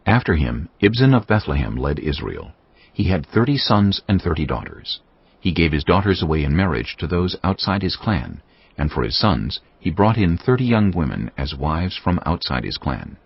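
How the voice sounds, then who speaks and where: A man with an extremely low, husky voice narrates scripture. His scripted storytelling has wide, dramatic intonation, and the recording is a little dull. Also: sing-song, moderate pace, no echo.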